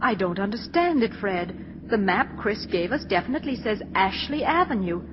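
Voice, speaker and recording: A woman's voice: medium pitch, very animated, moderate pace, background noise, natural voice. An actress playing a caring, earnest mother with a soothing, emphatic manner, in 1940s radio style. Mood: puzzled, anxious. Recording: dull, band-limited 1940s radio recording.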